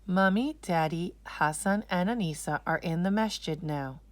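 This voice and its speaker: A woman's voice: lowish voice, normal speed, no echo. A woman narrating a children's storybook as a read-aloud.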